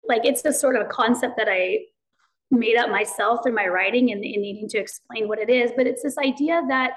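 A woman speaks her mind in a slightly high voice. She talks fast and animatedly, and her casual talk is full of fillers as she explains her ideas. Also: poor audio. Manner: rapid, animated, lots of fillers; self-described loud voice, outspoken. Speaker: guest. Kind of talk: casual conversation.

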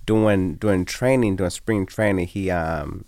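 A middle-aged man with a low voice talks as a guest on a radio show. He speaks in an easygoing, hesitant way with lots of ums, and the studio sound is dry and close. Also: slow, a little mellow, dry recording. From Las Vegas. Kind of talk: casual radio interview.

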